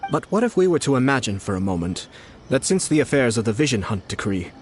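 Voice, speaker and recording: An actor playing a shrewd young nobleman in a video game, speaking in a measured, formal, diplomatic way. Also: mid-range voice, sing-song, soft background music, natural voice. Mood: composed, scheming. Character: composed, shrewd young nobleman and commissioner, courteous strategist.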